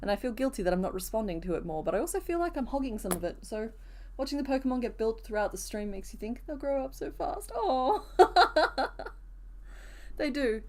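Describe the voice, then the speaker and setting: Hoarse-voiced woman chatting on a crochet stream. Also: on the high side, big pitch swings, fairly quick, darkish tone. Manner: chatty, answers viewers' comments as she works. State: rough, hoarse voice. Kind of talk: casual live-stream chat while crocheting.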